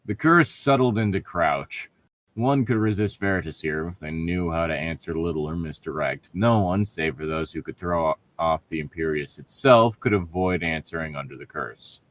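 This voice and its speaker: A man's voice: sits low, animated, moderate pace, a bit bright. A man reading a story aloud as a co-host, breaking off for sarcastic, sweary, irreverent asides. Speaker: co-host reading fan fiction aloud with mocking commentary. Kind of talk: read-aloud narration broken by sarcastic asides.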